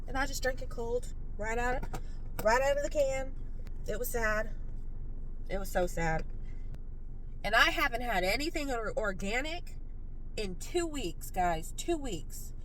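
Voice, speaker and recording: Woman, thirties, stuffy nose, high-ish voice, chatty car vlog, faint road noise behind. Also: measured pace, pauses often, rough recording. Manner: chatty, animated, self-mocking. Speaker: vlogger. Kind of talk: casual vlog chat. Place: inside a car.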